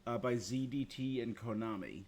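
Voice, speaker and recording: A man's voice: mid-range voice, moderate pace, darkish tone, a little hiss or hum. A man hosting a gaming livestream, chatting casually and informally with his viewers in a laid-back way. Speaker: game streamer, host.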